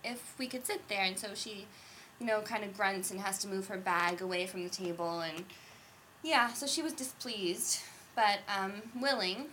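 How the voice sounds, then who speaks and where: A woman guest on a podcast, telling a story in a casual, animated way and laughing often. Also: mid-range voice, normal speed, slight background noise. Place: indoors.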